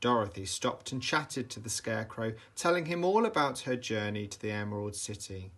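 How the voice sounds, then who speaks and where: A man reading a children's bedtime story aloud with dramatic, deliberate emphasis for young listeners. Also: fairly low pitch, sing-song, fairly bright tone. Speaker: storybook reader, teacher.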